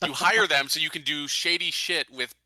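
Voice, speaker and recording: A man's voice: a little high, moderate pace, sharp tone, rough recording. A man playing a tabletop game, casually arguing his points. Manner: argumentative. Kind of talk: casual debate.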